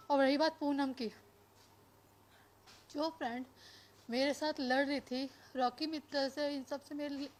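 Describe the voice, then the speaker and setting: A woman giving an impassioned, defiant public statement as a complainant, speaking emphatically and mixing in some English words. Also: high voice. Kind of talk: impassioned spoken statement to media.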